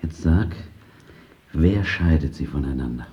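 Very deep-voiced battered man. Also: animated, unhurried, muffled recording. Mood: dry, challenging. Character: battered, suffering man of stubborn faith, arguing dryly. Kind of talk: radio drama acting.

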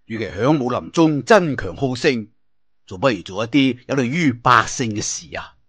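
A Cantonese man voicing a righteous martial-arts leader with grave, measured oratory in an audio storybook. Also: mid-range voice, expressive, a bit bright, husky, no room sound. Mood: solemn, resolute.

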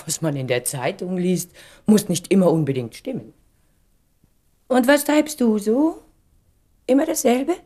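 A woman's voice: medium pitch, a little slow, long pauses, mellow, natural voice. A woman acting in a German radio play as a poised, guarded and subtly teasing lady, politely questioning.

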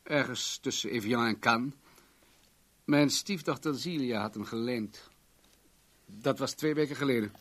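A man's voice: medium pitch, unhurried, long pauses, a bit bright. Radio play role: wealthy businessman, guarded, commanding, formal delivery, mid-range voice. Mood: guarded, insistent. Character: wealthy, controlling businessman, guarded and self-assured.

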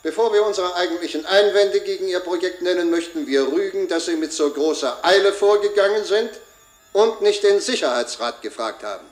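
Man as a stiff haughty chairman in a radio play, higher-ish male voice, echoey room, scolding formally. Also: fairly quick, close to an everyday voice. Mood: reproving, curt. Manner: formal, admonishing. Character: reproving, haughty male security council chairman. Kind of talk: German radio play acting. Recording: echoing room.

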